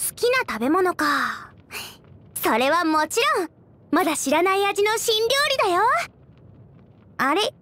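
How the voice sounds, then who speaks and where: An extremely high, girlish voice for a fantasy anime game. She is a cheerful teenage cook, eager and bubbly. Her delivery is chatty and very expressive. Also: measured pace, pauses often, dry recording, exaggerated voice. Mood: earnest, enthusiastic. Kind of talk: animated anime game character acting.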